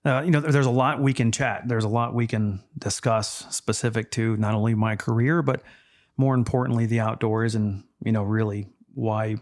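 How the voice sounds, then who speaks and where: Low, even-voiced Texan-born man. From Texas. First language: Spanish. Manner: measured, reflective, with frequent fillers. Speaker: podcast guest. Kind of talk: casual interview chat.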